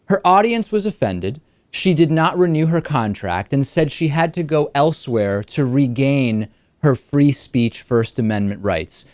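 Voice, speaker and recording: A man hosts a political talk show in a dry, close studio sound. His voice sits at a medium pitch, and he is measured and articulate as he lays out examples and puts questions to a guest. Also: expressive, a little mellow, no echo. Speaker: talk show host and interviewer.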